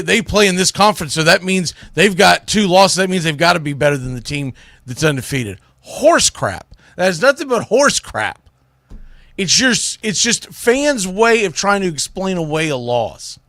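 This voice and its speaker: A man's voice: high voice, bright, forceful tone, no echo, studio quality. A man hosting a sports radio show, giving opinionated commentary in a blunt, emphatic, folksy way with salty slang.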